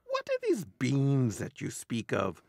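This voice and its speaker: An actor playing a mischievous, food-loving French gentleman who presents a radio ghost-story show, speaking with affected, theatrical formality. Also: medium pitch, wildly swooping pitch, unhurried, gravelly, no echo, clean studio sound, exaggerated voice. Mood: genial. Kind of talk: radio drama acting.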